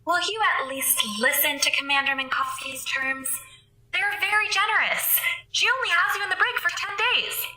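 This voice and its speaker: An actress voicing a prim, dryly calm artificial intelligence that runs a space station, speaking formally and precisely through a loudspeaker. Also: female voice, very high-pitched, normal speed, exaggerated voice. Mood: coaxing, dry. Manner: formal, precise, matter-of-fact. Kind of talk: modern radio drama acting. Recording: echoing loudspeaker sound, faint music.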